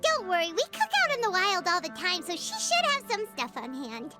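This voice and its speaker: An actress voicing a tiny, eager, food-loving floating girl companion in an anime-style game, with a squeaky, excitable childlike voice. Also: brisk, loud music behind the voice, exaggerated voice. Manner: excitable, speaks of self in third person.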